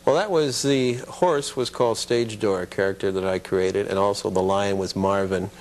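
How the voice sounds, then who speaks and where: A man's voice: fairly low pitch, normal speed. A middle-aged man, a television producer, casually reminiscing as an interview guest in a studio.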